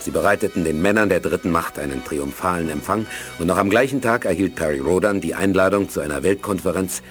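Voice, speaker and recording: A man narrating a scripted radio play in a storytelling role. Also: deep voice.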